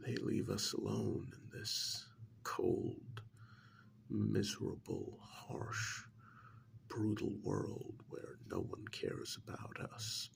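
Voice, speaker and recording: A man playing a sinister, menacing agent in an ASMR role-play, speaking softly in a breathy, gravelly half-whisper with deliberate pauses. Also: lowish voice, heavily acted. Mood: knowing, brooding. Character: sinister male agent coolly interrogating and blackmailing a mad scientist. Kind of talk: soft-spoken ASMR role-play monologue.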